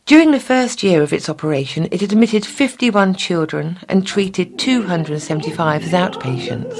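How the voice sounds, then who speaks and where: A woman's voice: on the low side, animated, moderate pace, darkish tone. A woman narrating a scripted feature for a talking newspaper, reading from prepared text.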